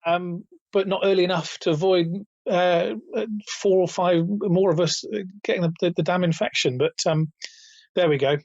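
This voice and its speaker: Raspy-voiced man, higher than usual. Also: steady tone, normal speed, a little mellow, no echo. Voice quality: raspy, slightly high after illness. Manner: hesitant, many restarts, chuckles between points. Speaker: guest, retail author and company founder. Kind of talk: casual interview over a call. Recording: dull, dry remote call audio.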